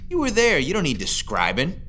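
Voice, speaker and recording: A man's voice: a little high, wildly swooping pitch, fairly quick, pushed and bright, a little hiss or hum, exaggerated voice. An actor playing an agitated, wisecracking man in his thirties in an audio drama, brash and indignant, with old-fashioned slang. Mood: indignant, exasperated. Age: 30s.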